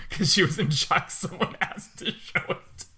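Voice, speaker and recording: A man with a bright, forward voice sits in on a podcast as a panelist. He talks casually and banters with a wry sense of humor. His pitch sits in a middle range. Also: a little slow. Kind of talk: casual joking chat.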